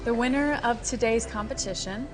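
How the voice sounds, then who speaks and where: An actress playing a food judge who speaks in a playful, teasing way. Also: female voice, fairly high pitch, moderate pace, natural voice. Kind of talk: film dialogue. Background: background music.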